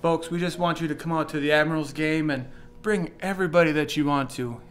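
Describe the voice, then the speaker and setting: A man giving a folksy, effusive sales pitch as an interview guest, repeating phrases for emphasis. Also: steady tone, a little fast, a bit bright, a little hiss or hum.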